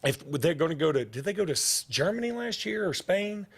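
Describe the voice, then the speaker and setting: A man's voice: fairly quick, creaky, slightly rough. A middle-aged man, hosting a sports talk show and rambling through stories in casual chat. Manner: rambling storytelling, jumps in pitch when animated.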